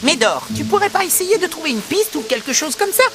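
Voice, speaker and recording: A take-charge cartoon animal leading a band of friends. The voice is high and swoops freely, bossy yet encouraging. Music plays behind it. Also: female voice, sing-song, a little fast. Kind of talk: French cartoon dubbing.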